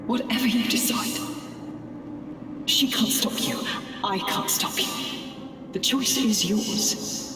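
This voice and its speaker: Game role: fanatical dying female scientist, persuasive, higher-pitched, music behind, echoing space. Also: slow pace, pauses often. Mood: coaxing. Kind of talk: video game cutscene acting. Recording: echoey hall, distant, music behind.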